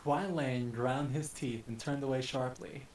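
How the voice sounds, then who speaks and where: A man narrating an action story dramatically, with a deliberate, literary reading style. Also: medium pitch, fairly even intonation, darkish tone. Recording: echoey, distant mic with hiss.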